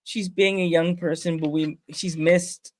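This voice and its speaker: A man's voice: a little high, steady tone, a little slow, dry recording. A man with a stuffed-up nose, hosting a podcast and chatting casually, laughing often. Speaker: podcast host. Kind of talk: casual chat.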